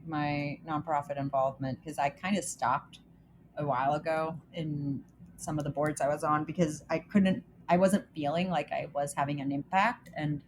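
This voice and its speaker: A woman's voice: sits low, a little hiss or hum. A woman from El Salvador co-hosting a podcast in a casual, chatty way, reading short news items and reacting to them. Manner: upbeat, chatty, reads news items aloud. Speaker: podcast co-host.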